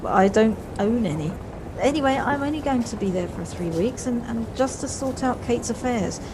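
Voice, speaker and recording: A woman in an audio play voicing a brisk, fretful, well-spoken wife with a wry, slightly snobbish manner, recorded at home on a personal device. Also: on the high side, animated, background noise, close to an everyday voice. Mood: brisk, dismissive.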